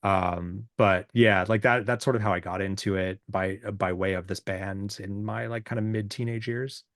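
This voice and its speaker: Male podcast guest, musician. Deep voice, casual and self-effacing, searching for words, very dry sound. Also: fairly even intonation, fairly bright tone, dry recording. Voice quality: deep, booming voice. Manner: halting, self-deprecating, informal. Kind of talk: casual, reflective interview chat.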